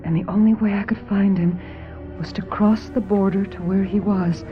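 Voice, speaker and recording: An actress playing a troubled, lovesick young woman, earnest and halting, confiding as she narrates a radio drama. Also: medium pitch, steady tone, close to an everyday voice. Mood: wistful confession. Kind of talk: radio drama acting, confessional first-person narration. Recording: dull lo-fi radio drama, music underneath.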